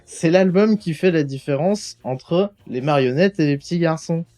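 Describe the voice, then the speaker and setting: A man's voice: a little high, normal speed, mellow. A man co-hosting a casual chat show, teasing and joking with his friend. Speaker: podcast co-host.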